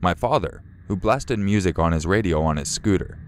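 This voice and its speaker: A man narrating a true-style horror story in a slow, measured, somber way. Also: low-pitched, lively intonation, a little hiss or hum. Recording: faint music behind.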